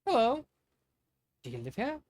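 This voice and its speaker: A man's voice: very high-pitched, wildly swooping pitch, normal speed, darkish tone, no echo, voice pushed up, exaggerated voice. Man voicing an earnest little crystal boy prince. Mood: tentative, curious. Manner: friendly, tentative, earnest. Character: polite, curious six-year-old underworld prince, a crystal creature.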